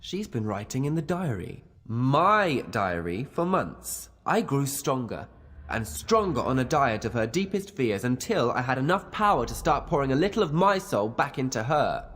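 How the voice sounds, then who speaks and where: An actor playing a smug, sinister teenage dark wizard in a video game, coolly gloating in a reverberant space. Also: male voice, mid-range voice, very animated. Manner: cool, gloating, deliberate. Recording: long cavernous reverb.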